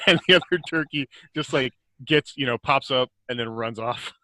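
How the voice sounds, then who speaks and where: Bright-voiced American man chatting informally. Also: medium pitch, moderate pace, no room sound. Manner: informal, chuckles often while talking. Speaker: author, podcast guest. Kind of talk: casual chat, anecdotes.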